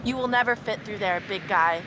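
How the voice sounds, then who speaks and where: A woman's voice: medium pitch, a little fast, background noise, natural voice. An actress playing a bossy, sarcastic young woman in a horror video game. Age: young adult. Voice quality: sarcastic, mocking. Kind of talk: video game cutscene acting. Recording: loud game ambience behind.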